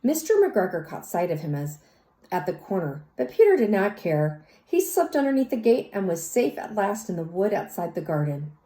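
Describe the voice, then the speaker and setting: A woman reads a children's story aloud in an expressive, teacherly way, speaking as if to young listeners. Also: mid-range voice. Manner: teacherly, pauses to question and comment. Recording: roomy, echoey sound.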